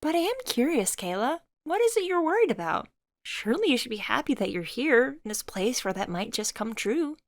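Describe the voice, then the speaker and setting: A woman's voice: fairly high pitch, sing-song, fairly quick, no echo, studio quality, voice pushed up. A woman voicing a friendly, curious and forthright young woman with an upbeat, chatty delivery in a story. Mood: cheerful.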